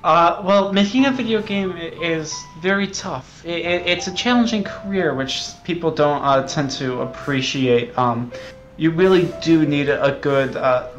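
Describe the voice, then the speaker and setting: Male game developer interviewee, self-serious and earnest, halting, highish voice, muffled lo-fi recording. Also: fairly bright tone, faint music behind. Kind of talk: mock interview.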